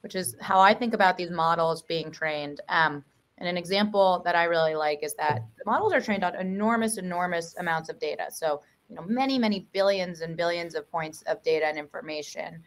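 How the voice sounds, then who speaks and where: Woman, 30s, Western American accent, fairly low pitch, enthusiastic, video call. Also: brisk. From US West Coast. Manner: enthusiastic, uses fillers. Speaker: venture investor. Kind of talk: panel discussion on video call. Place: bright room.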